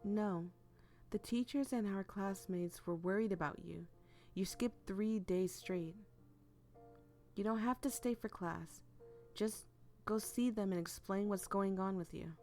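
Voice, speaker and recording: A woman's voice: medium pitch, a little slow, a little mellow, faint music behind, lightly acted. A woman with a cold-worn voice playing a stubborn, blunt young student who argues in exasperation in a visual novel. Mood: firm. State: losing voice with a cold.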